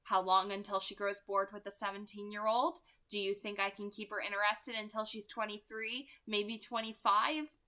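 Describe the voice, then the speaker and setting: Woman acting a protective storybook young man, gentle, earnest, controlled intensity, bright tone. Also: mid-range voice, level tone, moderate pace, few pauses, lightly acted. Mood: earnest, resigned.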